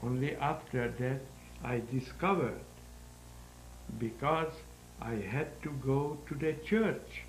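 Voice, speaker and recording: A man in his nineties with a Hungarian accent, giving halting, deliberate interview answers about his own life in non-native English. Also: medium pitch. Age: 90s. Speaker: interview guest, sculptor. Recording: roomy echo, faint background noise.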